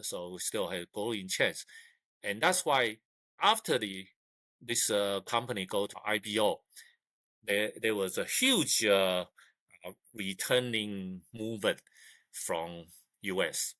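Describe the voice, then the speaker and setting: An older man, speaking English as an in a halting interview style full of restarts, on a video call. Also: fairly low pitch, sharp tone, dry recording. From Taiwan. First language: Mandarin. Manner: halting, repeats words, many uh fillers. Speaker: guest, retired engineer. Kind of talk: casual interview. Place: indoor room.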